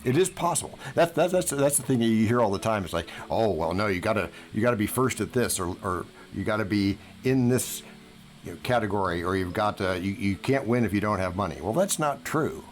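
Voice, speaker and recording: A man giving conversational financial advice in an emphatic, deliberate manner, repeating words for emphasis. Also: on the low side, expressive. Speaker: financial coach and podcast guest speaker. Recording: music playing behind the voice.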